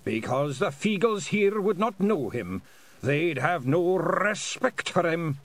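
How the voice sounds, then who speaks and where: A man voicing a small, polite, proud pixie bard with a Scottish accent, rolled Rs and clear, careful speech. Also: on the high side, sing-song, measured pace, a bit bright, pitched above the performer's own voice, heavily acted. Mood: courteous, firm. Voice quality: rolled drum-roll Rs.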